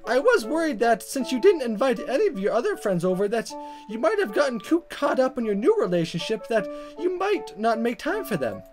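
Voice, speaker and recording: A woman's voice: on the high side, big pitch swings, a little fast, voice pushed up. A woman voicing a teasing, affectionate mother from an anime visual novel, with playful, exaggerated sweetness. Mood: gently teasing. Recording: music behind the voice.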